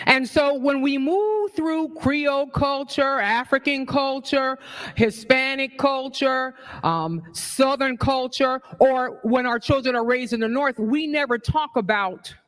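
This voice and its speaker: A woman's voice: sits high, slow. A woman in her sixties, from New York, speaks at a community forum with passion and conviction. She works the room with rhetorical questions and draws the audience in. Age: 60s. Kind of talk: public speech at a community forum.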